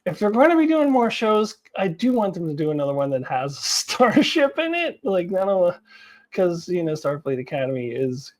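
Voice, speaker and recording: A woman's voice: lowish voice, very animated, normal speed, a little mellow. A woman hosting an online video, giving casual, unscripted commentary in a chatty, rambling way with bits of laughter in her talk. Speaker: YouTube host commenting on TV franchise news.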